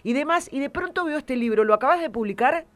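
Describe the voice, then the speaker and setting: A woman with a Rioplatense accent hosting a radio interview, chatty and curious, quick to agree and to share her own view of history. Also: high-pitched, expressive, soft background music. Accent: Rioplatense Spanish.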